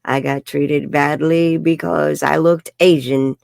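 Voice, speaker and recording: A woman's voice: sits low, steady tone, slow pace, gravelly, darkish tone, no room sound, clean studio sound. A woman, telling her life story frankly as an interview guest and laughing often. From New York. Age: 70s. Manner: frank and chatty, laughs often, says "bloody". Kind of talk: candid personal storytelling in an interview.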